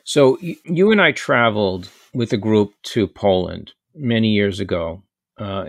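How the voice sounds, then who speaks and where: Low-voiced male interviewer, dry close sound. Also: dry recording. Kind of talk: measured conversational interview questions.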